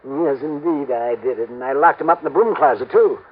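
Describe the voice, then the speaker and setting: A man playing an eager, self-pleased older gentleman sidekick in 1950s radio drama, chatty and fussy. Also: medium pitch, a little fast, breathy edge, natural voice. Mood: proud, excited. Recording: noisy, tinny old radio recording.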